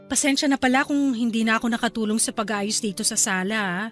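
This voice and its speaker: High-voiced Filipina young wife played by an actress. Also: female voice, fast, fairly bright tone, faint music behind, close to an everyday voice. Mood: chatty, content. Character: young Filipina wife and new mother, devoted but testy. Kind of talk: Filipino radio drama acting.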